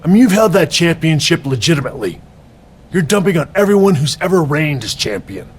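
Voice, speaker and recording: A husky male wrestler with lively, slightly high pitch, needling and confrontational. The delivery is scripted video game dialogue. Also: brisk. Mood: indignant. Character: indignant, challenging male veteran wrestler.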